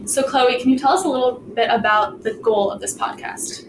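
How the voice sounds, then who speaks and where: A woman's voice: a little high, normal speed, background noise. Upbeat woman hosting in a roomy space. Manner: enthusiastic, encouraging. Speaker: podcast staff co-host.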